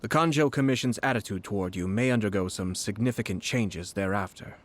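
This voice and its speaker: An actor voicing a composed, courteous nobleman official in a video game, formal, measured and subtly sly. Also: lowish voice, slight background noise, natural voice. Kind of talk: video game voice acting, scripted dialogue.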